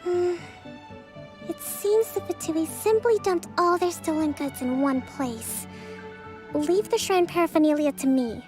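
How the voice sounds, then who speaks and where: A woman playing a calm, dutiful young priestess leader in a video game, speaking with formal, measured diplomacy. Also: very high-pitched, music in the background. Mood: considerate, composed. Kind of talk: scripted video game dialogue.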